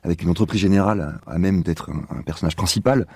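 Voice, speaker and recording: A man speaking as an interview guest, explaining in a halting, conversational way as he searches for words. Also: deep voice, gravelly, a little mellow, slightly rough, dry recording, studio quality.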